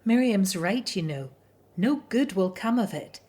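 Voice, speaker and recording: A woman plays a Hebrew wife and mother in a radio drama. She is practical and quick to disapprove. Her husky voice has a middling pitch. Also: animated, mellow. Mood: concerned. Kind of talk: scripted radio drama acting.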